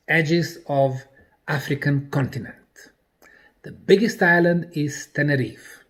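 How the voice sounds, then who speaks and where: Slow, animated male lecturer from Bosnia. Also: high voice. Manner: deliberate, emphatic, speaking in short broken phrases. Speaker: presenter and researcher. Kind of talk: explanatory lecture presentation.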